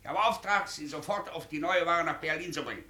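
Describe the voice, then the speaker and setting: A stern Prussian captain in an old radio play. His voice is high and piercingly sharp, with curt military commands that ring in a roomy studio. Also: a little fast. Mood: curt, authoritative. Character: man posing as stern Prussian army captain, brisk, commanding. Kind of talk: 1950s German radio drama acting.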